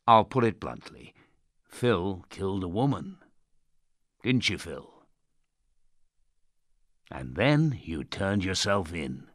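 A man acting a manipulative radio host in a dark audio drama, falsely kind, theatrical and coaxing, with a husky, gravelly voice. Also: deep voice, no echo, clean studio sound, full character voice. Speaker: radio presenter.

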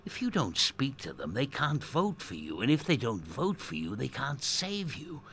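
A man acting a manipulative radio host in a dark audio drama, falsely kind, theatrical and coaxing, with a husky, gravelly voice. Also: medium pitch, wildly swooping pitch, moderate pace, a little hiss or hum. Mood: coaxing, pressing. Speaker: radio presenter.

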